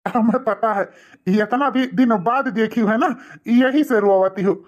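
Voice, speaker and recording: A man's voice: sits high, measured pace, muffled recording. A man acts out an older, humble village father in a cartoon family melodrama. He speaks with an Awadhi accent, sentimental and resigned to his sorrow. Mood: affectionate. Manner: stammering when shaken, sentimental.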